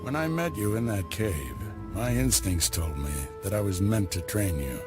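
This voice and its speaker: A man voicing a calm, wry Jedi master who gives guidance and orders to his apprentice, in a studio video game cutscene. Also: lowish voice, moderate pace, close to an everyday voice. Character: wry, patient older Jedi master and mentor. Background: orchestral game music.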